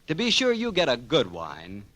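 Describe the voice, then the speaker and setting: A male vintage radio announcer with a medium-pitched voice. He is folksy and enthusiastic, delivering his lines with lively, sweeping intonation like a friendly salesman. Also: normal speed. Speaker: announcer and sponsor spokesman.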